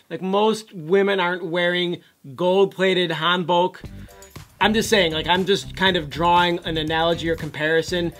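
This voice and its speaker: A man's voice: sits high, a bit bright. A man co-hosting a casual commentary show, musing aloud and offering his opinions on photos.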